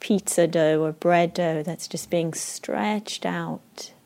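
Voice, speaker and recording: A woman with an Israeli accent guides a meditation in a slow, soft, unhurried voice. Her tone sits fairly low and stays flat and even, with long pauses between phrases. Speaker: meditation teacher.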